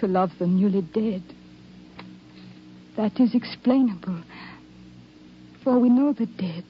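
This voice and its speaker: A woman's voice: mid-range voice, slow, natural voice. An actress playing a refined, wistful young soldier's wife and mother, composed and formal in 1950s radio drama style. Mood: tender, solemn. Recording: dull, noisy 1950s radio recording.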